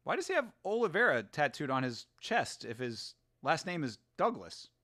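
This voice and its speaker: A man's voice: on the high side, very animated, moderate pace, sharp tone, dry recording, studio quality. A man hosting a casual live watch-along, talking in a rambling, laid-back way, swearing, laughing often and answering viewer comments. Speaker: host.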